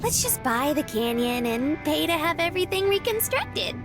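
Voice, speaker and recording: High-voiced naive young woman, music behind. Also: very animated, moderate pace. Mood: breezy, eager. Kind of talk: visual novel voice acting.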